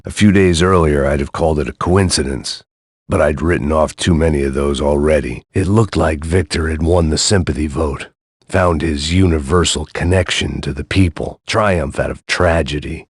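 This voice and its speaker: An actor playing a weary, cynical hard-boiled ex-cop, delivering dry, sardonic inner monologue as video game voice lines. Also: male voice, very deep voice, slightly rough, no room sound.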